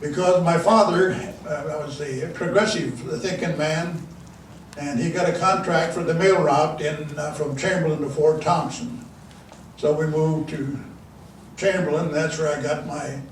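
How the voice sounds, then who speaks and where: An older man from South Dakota giving a folksy storytelling talk into a handheld mic in a large meeting room, halting and restarting often. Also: fairly high pitch, fairly even intonation, distant mic. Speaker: guest speaker. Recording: echoey room, mic from afar, faint hiss.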